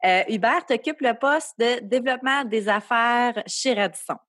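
A woman's voice: fairly high pitch, moderate pace, fairly bright tone, no echo. A woman with a Québécois accent hosting a podcast, reading an introduction and then asking conversational questions. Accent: Québécois French. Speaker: podcast host. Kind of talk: podcast interview. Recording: dry with faint music behind.

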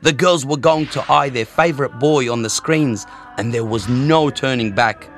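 A man's voice: medium pitch, moderate pace, a bit bright, soft background music. A man telling a wry, self-mocking story about his own past in the first person. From Australia.

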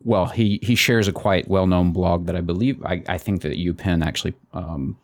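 Man, podcast co-host, halting casual talk, low voice, dry. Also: fairly even intonation, no echo. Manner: halting, repeats words while explaining. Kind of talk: casual conversation.

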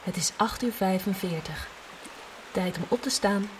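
A polite female home computer voiced by a woman makes formal, measured wake-up announcements in a futuristic radio play. Her pitch is ordinary for a woman, and faint noise sits behind her. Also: lightly acted. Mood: polite, neutral.

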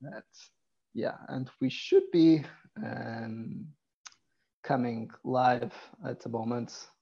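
A man hosting a live video podcast over a video call, introducing his guest with a halting, hesitant delivery. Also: mid-range voice, gravelly, mellow, slightly rough, highs cut off. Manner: halting, many ums and restarts. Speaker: podcast host.

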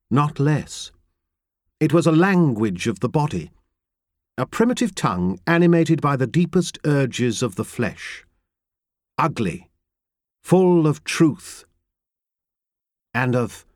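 A man reading a novel aloud in first person, with measured, reflective and grave storytelling from a close studio mic. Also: fairly high pitch, a little mellow, dry recording. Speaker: audiobook narrator. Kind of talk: first-person literary narration.

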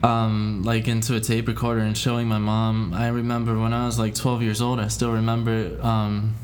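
A man's voice: lowish voice, monotone, normal speed, darkish tone, a little hiss or hum. A young man from Spain, a guest musician, giving rambling, hesitant answers full of "like" and "um". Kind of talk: casual interview answers.

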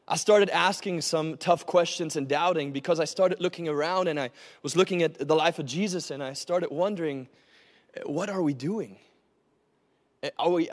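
Higher-pitched man preaching as guest pastor. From born and raised in northern Germany, then Oklahoma from age twelve. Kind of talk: sermon with personal testimony.

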